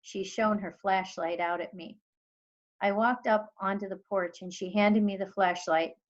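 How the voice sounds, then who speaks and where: A woman teacher reading a children's storybook aloud in expressive narration. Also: medium pitch, a little slow, highs cut off.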